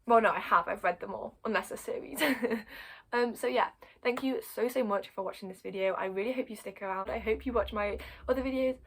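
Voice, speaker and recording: A young British woman with a croaky voice, chatting excitedly to camera as a book vlogger in a converted attic, giggling and correcting herself as she rambles. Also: medium pitch, brisk. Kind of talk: casual chat to camera.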